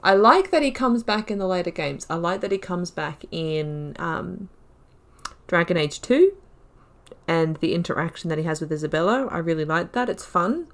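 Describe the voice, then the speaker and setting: A woman's voice: lowish voice, lively intonation, normal speed. A young woman giving casual let's-play commentary, chatting and thinking aloud about her choices in the story. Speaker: gaming video creator.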